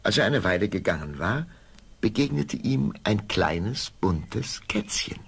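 A man narrating a scripted fairy tale for an audio play in a gravelly voice. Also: fairly low pitch, very animated, unhurried, fairly bright tone.

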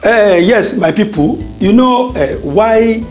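Nigerian male panellist, fifties, high-pitched, emphatic explainer in studio discussion. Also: animated, slow pace, a little mellow, faint music behind. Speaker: talk show guest, commentator for the masses. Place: small indoor TV studio. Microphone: lapel mic.

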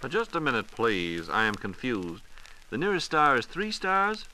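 A man's voice: medium pitch, huge pitch swings, moderate pace, sharp tone, poor audio. An American man as an inquisitive, playful layman host in scripted 1940s radio dialogue. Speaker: radio host asking questions.

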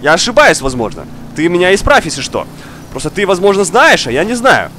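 Male gaming streamer, young adult, high swooping voice, slightly unwell, excitable and chatty, repeats himself. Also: fairly quick, slight background noise. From outside Russia. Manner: animated, exclaiming, repeats words, talks to viewer. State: slightly ill, coughing now and then. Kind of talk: excitable casual gameplay commentary. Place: indoor room, seated at racing wheel.

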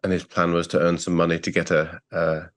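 Deep-voiced English man, measured. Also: monotone, fairly bright tone. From England. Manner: thoughtful, measured, with frequent restarts. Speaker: guest. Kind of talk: reflective interview talk. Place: plain-walled home room. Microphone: earbuds on a video call.

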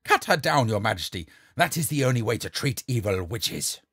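A man playing a shrill, scheming charlatan. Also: big pitch swings, moderate pace, no room sound, clean studio sound. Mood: shrieking. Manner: wheedling, then shrieking and bullying. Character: scheming cowardly male charlatan, shrill when scared.

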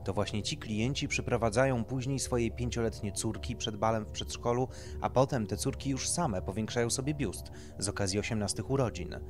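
A man's voice: mid-range voice, a little fast, hardly any pauses, over music. A man reading a satirical horror story as scripted narration. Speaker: narrator, audiobook reader.

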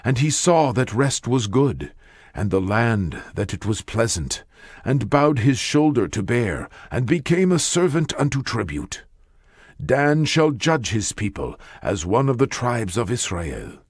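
A man narrating scripture as an audiobook, with a solemn, measured and declamatory delivery. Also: mid-range voice, very animated, breathy edge, no echo, studio quality.

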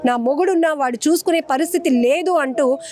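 A woman news reporter delivering an emotional, earnest report, pleading and slowing on heavy phrases. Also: high-pitched, a little mellow. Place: outdoors near a hospital morgue.

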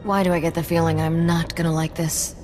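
A woman's voice: deep voice, level tone, a little fast, a little mellow, soft background music, lightly acted. A woman playing a cocky, sarcastic smuggler in a video game, with a wry, sassy delivery. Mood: irritated.

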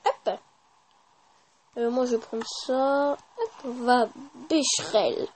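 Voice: sits high, slow pace, a little hiss or hum. A gaming YouTuber giving casual, informal gameplay commentary with slang and swearing.

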